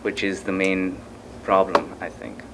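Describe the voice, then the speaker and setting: A man's voice: deep voice, level tone, unhurried, background noise. A young man from Nepal with a Nepali accent, speaking informally in a panel discussion. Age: young adult. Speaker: student on a panel. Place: conference room, indoors.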